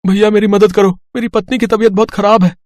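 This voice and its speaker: A man's voice: sits high, level tone, fast talker, darkish tone, dry recording, studio quality, pitched above the performer's own voice, lightly acted. A man voicing a desperate robbed traveller who pleads in a raised, strained voice. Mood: pleading.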